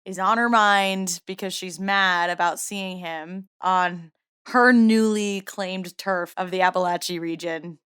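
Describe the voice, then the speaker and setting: A woman's voice: on the low side, steady tone, a little slow, a bit bright, smooth. A young woman in a casual tabletop roleplay, chatty and giggly and full of fillers. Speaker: player.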